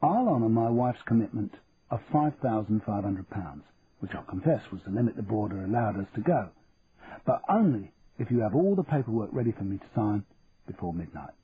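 A man's voice: lowish voice, big pitch swings, a little fast. A man doing a wry Cockney shopkeeper. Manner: dry, teasing bargaining. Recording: tinny phone-like sound with faint hiss.

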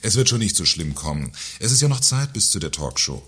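Man acting company director, low-pitched, husky, pompous, sarcastic, formal, satirical radio drama. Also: very animated, a little fast, bright, forceful tone, full character voice. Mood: smug, dismissive. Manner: sarcastic, haughty, formal wording.

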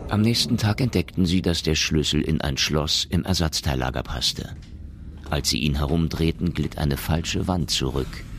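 A man playing the grave storyteller of a science fiction radio drama, narrating in a measured, solemn manner. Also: rumbling low, background noise.

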